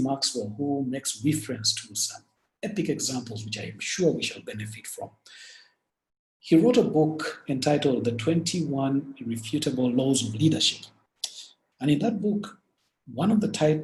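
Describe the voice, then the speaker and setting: A man with a Ugandan accent, from Uganda, giving a webinar talk as the speaker and walking through business stories in an earnest, lively way. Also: medium pitch. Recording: echoey room.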